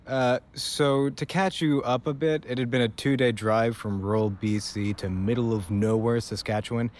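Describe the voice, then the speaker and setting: A man's voice: medium pitch, lightly acted. A man playing a wry, awkward young man in an audio drama, speaking conversationally with a sardonic edge. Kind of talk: audio drama acting and first-person narration.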